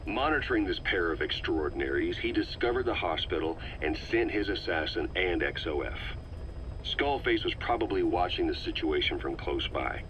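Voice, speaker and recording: An actor playing a calm, middle-aged male agent who methodically explains a conspiracy to the listener on a cassette tape. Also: low-pitched, moderate pace, a little hiss or hum. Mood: measured. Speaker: intelligence briefer on a cassette tape. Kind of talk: scripted game voice acting. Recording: slightly muffled cassette tape recording.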